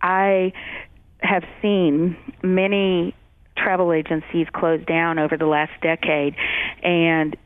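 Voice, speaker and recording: Smooth-voiced woman on a tinny radio line. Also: lowish voice, little pitch movement, slow, dry recording. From Georgia, US. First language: German. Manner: chatty, many fillers and restarts. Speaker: travel agency owner, guest. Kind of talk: radio interview, casual.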